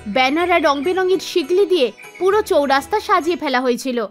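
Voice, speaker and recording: A woman's voice: high-pitched, animated, fairly quick, faint music behind. A woman reading scripted narration for a children's story.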